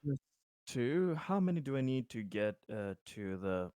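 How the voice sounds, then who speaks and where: A man's voice: medium pitch, very animated, unhurried, dark tone, clean tone, dry recording, studio quality. A man playing a tabletop roleplaying game and talking casually about his moves.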